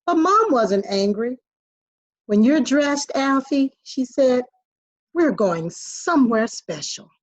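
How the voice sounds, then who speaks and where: A woman's voice: high-pitched, slow, dry recording. A woman teacher reading a children's picture book expressively, on a dry, dull recording. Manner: expressive storytelling.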